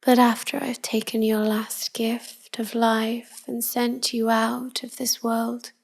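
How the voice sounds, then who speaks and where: Slow, whispery woman playing a tender vampire wife. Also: on the high side, little pitch movement, full character voice. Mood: somber longing. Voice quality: soft, breathy, half-whispered. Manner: slow, gentle, murmured endearments. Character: tender, melancholy immortal vampire wife, devoted and wistful. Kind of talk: intimate pillow-talk ASMR roleplay.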